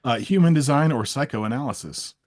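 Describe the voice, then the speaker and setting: A man with a husky edge and a lively, swooping delivery. He plays interviewer, firing off quick either-or choices and teasing his guest as he goes. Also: medium pitch. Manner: playful, teasing. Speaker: interviewer, host. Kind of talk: rapid either-or quiz in casual chat.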